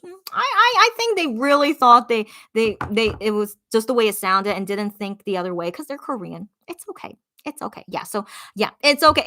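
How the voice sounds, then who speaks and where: A woman's voice: mid-range voice, fairly bright tone, no room sound, studio quality. A young woman hosting a casual livestream radio show, reading viewer comments aloud and reassuring them in a chatty way, often repeating her phrases.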